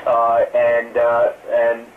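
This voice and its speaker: A man speaking as a political campaign spokesman on a press conference call, answering off the cuff in a careful, halting, hedging way. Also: mid-range voice, monotone. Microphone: telephone conference call. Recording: muffled narrow phone line, distant, some hiss.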